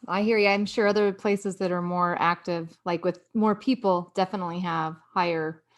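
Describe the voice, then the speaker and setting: A woman on a podcast panel, chatting casually and responding warmly to the guest. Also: on the low side, fairly even intonation, normal speed. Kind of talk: casual chat.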